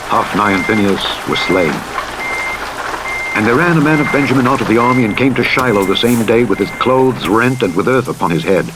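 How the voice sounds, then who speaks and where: A man narrating the Bible in a solemn, measured storytelling style, acting out the dialogue as he reads. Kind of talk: scripted scripture reading with dramatized dialogue. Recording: rumbling truck and rain noise over playback. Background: vehicle rumble, rain, simulator truck sounds.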